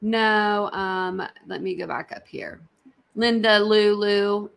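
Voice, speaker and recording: A woman's voice: medium pitch, takes it slowly, gravelly, fairly bright tone. A woman hosting a casual livestream, reacting to videos in a rambling way, repeating herself and talking straight to her viewers. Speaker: livestream host.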